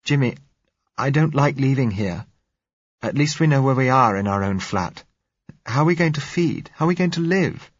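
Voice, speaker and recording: A scared young woman, as a male narrator puts her on, pleading and anxious. The voice is raised high, with a husky edge, and the recording is dry and dull. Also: expressive, brisk, few pauses, dry recording. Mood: frightened.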